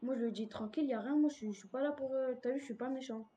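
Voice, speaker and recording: A woman's voice: high voice, racing, warm tone. A woman gamer recounting an incident casually in slangy, hesitant speech. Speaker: player in a roleplay game. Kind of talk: casual storytelling in game voice chat. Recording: background noise on game voice chat.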